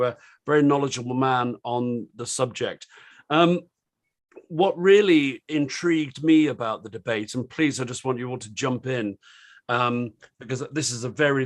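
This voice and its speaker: A British man with a slightly croaky voice opens a panel discussion over a video call. He talks in a rambling, hesitant way, stopping and restarting with plenty of ums and uhs. His pitch sits in the middle range. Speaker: host of an online panel discussion.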